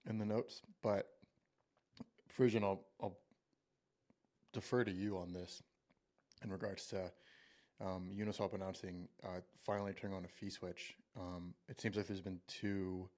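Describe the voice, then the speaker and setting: A man with a fairly low voice hosts a casual podcast. He keeps the talk loose and jokey, teasing his co-hosts before steering them to the topic. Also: flat delivery, measured pace, long pauses, darkish tone. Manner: loose, joking, leads the talk. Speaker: podcast host.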